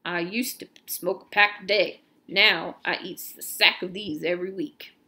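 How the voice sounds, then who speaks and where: A woman voicing a gruff, bossy male camp official while reading a storybook aloud. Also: fairly low pitch, fairly even intonation, moderate pace, pushed and bright. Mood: matter-of-fact.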